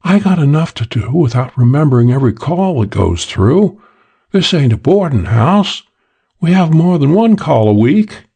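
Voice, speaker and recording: Casting: male reader as young female switchboard operator, hardboiled audiobook, raised pitch, sassy, slangy, put-upon and sighing. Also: big pitch swings, normal speed, gravelly, dark tone, husky, full character voice. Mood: exasperated. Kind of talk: audiobook character voice for hardboiled detective story.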